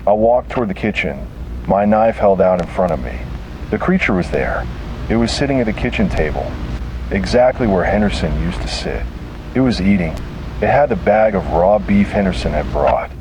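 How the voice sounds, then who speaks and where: A man narrating scripted first-person horror in a dramatic, deliberate and grim manner. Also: sits low, lively intonation. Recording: noisy, hissy analog tape sound.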